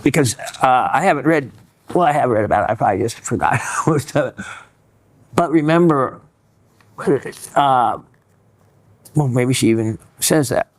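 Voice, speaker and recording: A man hosting a gemstone show, reading viewer letters and chatting in a rambling way with many pauses and restarts. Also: mid-range voice, lively intonation. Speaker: host reading viewer mail. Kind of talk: casual educational chat.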